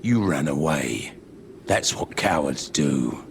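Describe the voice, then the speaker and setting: An actor playing a gruff, blunt warrior hero in a fantasy game, speaking in terse lines. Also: male voice, extremely low pitch, lively intonation, slow pace, a little hiss or hum, exaggerated voice. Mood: stern. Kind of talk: fantasy game character acting. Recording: roomy echo, faint music.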